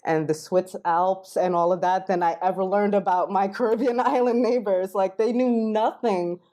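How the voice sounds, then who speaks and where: A woman from Queens, New York, talking as a podcast guest and linguistic anthropologist, explaining at length in a fluent, relaxed way with many fillers. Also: medium pitch, moderate pace. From Queens, New York, United States. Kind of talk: casual interview.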